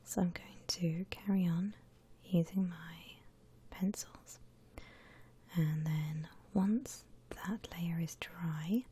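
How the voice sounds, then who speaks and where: Slow, low whispering woman, ASMR. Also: fairly even intonation. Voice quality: breathy, unvoiced whisper. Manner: gentle, unhurried explaining. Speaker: host of an ASMR drawing channel. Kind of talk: whispered ASMR art tutorial. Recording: faint background noise.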